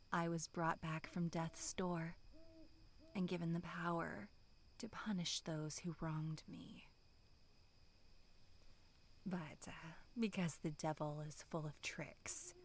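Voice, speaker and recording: A woman plays a vengeful female monster wearing human skin in a horror ASMR roleplay. She is sinister and amused, savoring each taunt in a lowish, half-whispered voice at a crawling pace. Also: a little hiss or hum.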